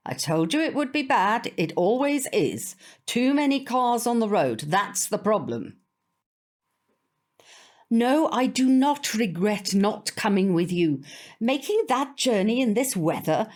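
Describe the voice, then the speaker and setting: Elderly British grandma, brisk, complaining, proud, expressive pitch swings, radio play. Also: female voice. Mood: grumbling. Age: older. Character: fussy, proud elderly grandmother, stubbornly independent. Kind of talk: audio drama acting.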